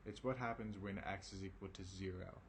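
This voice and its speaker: A man with a low, raspy voice works through an algebra lesson, tired from the late hour. He keeps it casual and reassuring, checking in with lots of ums and okays. A faint noise sits behind him. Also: fairly even intonation, a little fast. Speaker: math teacher. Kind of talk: recorded math lesson.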